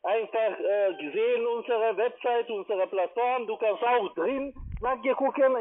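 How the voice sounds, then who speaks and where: A man with a foreign accent in non-native German, making a halting, impatient, informal sales call as a call center salesman. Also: extremely high pitch. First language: not German. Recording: muffled, tinny phone line.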